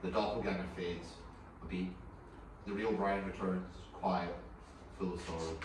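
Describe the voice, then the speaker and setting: A man's voice: low voice, steady tone, unhurried. A man reading stage directions as scripted narration at a table read. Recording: distant echoey room, clear background noise.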